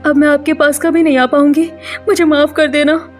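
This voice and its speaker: A woman's voice: high voice, level tone, normal speed, soft background music, voice pushed up, natural voice. A woman voicing a tender, emotional young mermaid mother in a cartoon story. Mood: sorrowful, resolute. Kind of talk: Hindi cartoon story character voice.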